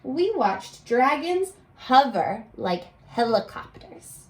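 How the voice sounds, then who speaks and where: Woman, children's read-aloud, high-pitched, lively swooping intonation, teacherly, prompting young listeners. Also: slow. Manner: expressive, exclamatory, coaxing children to respond.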